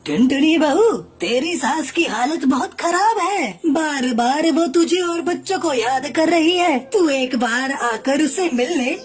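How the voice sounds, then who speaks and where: Woman as an urgent high-voiced village bird elder. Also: lively intonation, a little slow, hardly any pauses.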